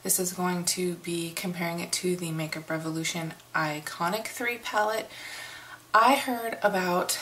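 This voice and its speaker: A middle-aged woman from the United States giving a casual makeup review in an unhurried, chatty way, hoarse and sniffling from a cold. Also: lowish voice, steady tone, fairly bright tone, slight background noise. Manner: unhurried, chatty, many fillers. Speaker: beauty vlogger.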